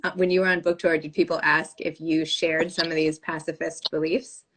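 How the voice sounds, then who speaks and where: A woman's voice: low-pitched, little pitch movement, normal speed, a bit bright, a little hiss or hum. A woman hosting a casual interview in an informal, chatty way. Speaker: interview host.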